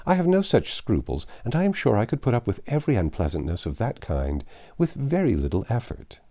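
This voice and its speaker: A man's voice: medium pitch, wildly swooping pitch, brisk, warm tone, voice pushed up. A man reading aloud the part of a headstrong young woman who speaks in an eager, emphatic way.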